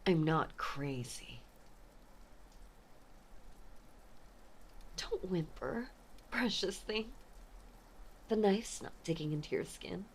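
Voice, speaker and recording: A woman playing an obsessive, possessive mafia heiress ex-girlfriend in a dramatic audio roleplay, with intense, menacing, theatrical delivery and a breathy voice. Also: fairly low pitch, lively intonation, takes it slowly, a little hiss or hum. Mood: calm menace. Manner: intense, menacing, theatrical, swinging between hush and outburst. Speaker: voice actor.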